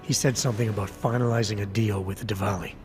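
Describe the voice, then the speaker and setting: A man voices a guarded cyborg agent in a video game, his low, clipped delivery rough at the edges. He speaks over a loud cutscene score. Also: steady tone, quick pace, soft background music, close to an everyday voice.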